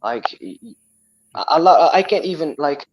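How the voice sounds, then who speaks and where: Moroccan-accented man, lively and halting. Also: a little high, slight background noise, rough recording. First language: Tamazight. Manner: animated, frequent restarts and repeated words. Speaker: guest. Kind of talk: casual chat.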